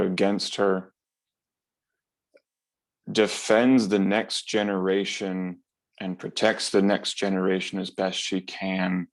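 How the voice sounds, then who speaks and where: A man with a low, gravelly voice offers heartfelt reflections to a panel. He goes very slowly and deliberately, halting earnestly between phrases. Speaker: actor.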